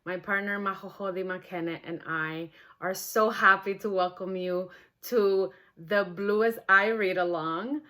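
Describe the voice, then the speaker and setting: A woman's voice: mid-range voice, bright, forceful tone. A woman whose first language is not English, speaking earnestly and with feeling as the host of a book read-along. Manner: earnest, heartfelt. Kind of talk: warm personal introduction, then reading a novel aloud.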